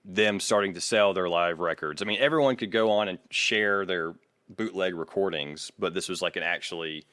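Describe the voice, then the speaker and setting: A man's voice: low voice, moderate pace, a bit bright, no echo, clean studio sound. A man co-hosting a podcast, narrating music history casually and informally with an amused streak. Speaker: podcast co-host.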